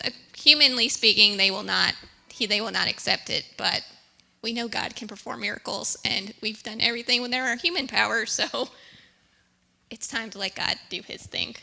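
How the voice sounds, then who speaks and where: A woman's voice: medium pitch, vocal fry, pushed and bright. A woman missionary telling a story in a casual, chatty way to a church. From United States. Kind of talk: church presentation. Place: church sanctuary.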